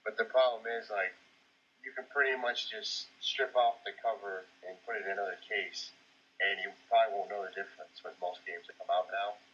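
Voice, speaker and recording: Low husky man on muffled lo-fi audio. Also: fairly even intonation, brisk, pushed and bright. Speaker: podcast co-host. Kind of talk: casual chat about video games. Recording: muffled, lo-fi voice recording.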